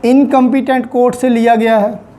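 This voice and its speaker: A woman's voice: a little high, level tone, normal speed, dark tone, a little hiss or hum. A woman with a Pakistani accent lecturing as a law teacher, explaining methodically in short phrases and repeating key points. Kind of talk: explanatory lecture reviewing legal articles.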